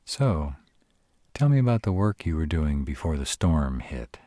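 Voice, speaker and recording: An extremely low-voiced, husky male were-dragon, acted by a man for a roleplay audio drama. He is protective and caring, with a wry, casual way of talking. The sound is dry and intimate. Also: big pitch swings, measured pace, warm tone, no echo, full character voice. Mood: relaxed, caring. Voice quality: very deep, husky.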